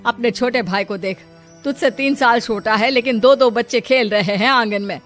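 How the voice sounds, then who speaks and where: Woman playing older mother, taunting, scolding, raised pitch, faint music. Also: moderate pace, lightly acted. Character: nagging older mother, sharp-tongued and worried. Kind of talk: cartoon voice acting.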